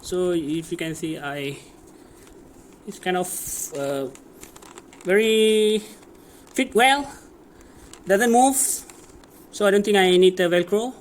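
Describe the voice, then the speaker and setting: A man giving a casual hands-on how-to, speaking in a hesitant, improvised way with searching pauses. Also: high-pitched. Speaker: DIY tutorial presenter. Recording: clear background noise, ambient sound.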